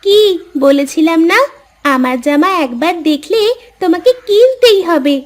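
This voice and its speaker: A woman's voice: extremely high pitch, animated, measured pace. A woman voicing a young village farmer's daughter in a cartoon, earnest, caring and sweetly persuasive. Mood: proud, persuasive. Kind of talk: Bengali moral-story cartoon voice acting.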